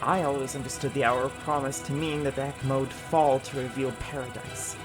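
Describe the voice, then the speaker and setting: A woman's voice: very deep voice, fairly even intonation, a little fast. A woman playing a defiant, imperious warrior vizier in a fantasy audio drama, speaking in terse, dramatic lines. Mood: troubled, resolute. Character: commanding, defiant female vizier of poisons, a warrior. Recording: music bed behind.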